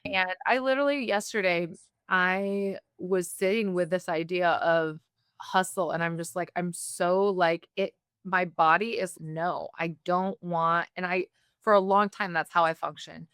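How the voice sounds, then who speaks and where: A woman from the United States hosting an interview, talking in a candid, reflective way with quick bursts. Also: fairly low pitch, fairly even intonation, a bit bright, clear, resonant tone, no room sound, studio quality. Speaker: podcast host. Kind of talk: conversational interview.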